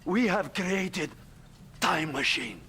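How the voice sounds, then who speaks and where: An actor playing a frantic, pleading defecting Soviet scientist with a Russian accent in a video game cutscene. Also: male voice, high voice, expressive, takes it slowly, bright, forceful tone, a little hiss or hum, heavily acted. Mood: urgent, frightened. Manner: agitated, pleading.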